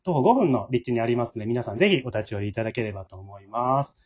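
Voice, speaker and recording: A man's voice: medium pitch, animated, rapid-fire, darkish tone, husky, dull audio. A man hosting a podcast in a chatty style. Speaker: host.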